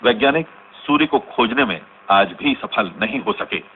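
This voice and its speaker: An actor playing a sober radio news announcer, reading formally and in a measured way within a radio drama. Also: male voice, medium pitch, expressive, close to an everyday voice. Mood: grave, formal. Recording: tinny band-limited radio broadcast, faint noise.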